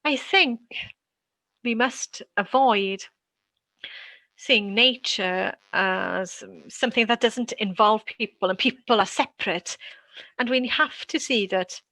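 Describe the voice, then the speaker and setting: A woman from Wales giving a prepared conference talk as a panelist, measured and deliberate, pausing often. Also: fairly high pitch, pushed and bright, no room sound. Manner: measured and deliberate, with frequent pauses.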